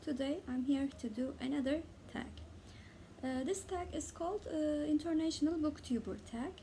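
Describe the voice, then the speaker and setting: A young Turkish woman with a Turkish accent, talking casually as a book vlogger and pausing often with "uh" between words. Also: sits high, noisy background. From Turkey. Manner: hesitant, many "uh" pauses between words. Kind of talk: casual tag video talk.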